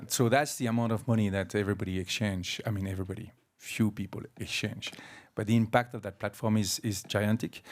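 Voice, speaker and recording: A man's voice: fairly low pitch, a little mellow. A man introducing himself on a panel as a finance executive, in hesitant, broken phrases.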